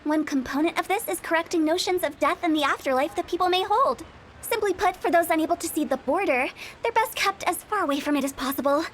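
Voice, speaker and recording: Cheeky young funeral director girl, very high voice, playful and a bit spooky, faint hiss behind. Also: quick pace, fairly bright tone. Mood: earnest. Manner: playful, teasing, with sudden spooky asides. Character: mischievous young female funeral parlor director. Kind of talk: video game voice acting.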